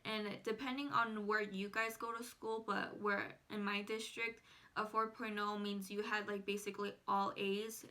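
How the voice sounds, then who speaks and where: A woman's voice: mid-range voice, little pitch movement, normal speed, pushed and bright. An eighteen-year-old woman, a native Spanish speaker, chatting casually to viewers as a vlogger. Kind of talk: casual personal chat to viewers.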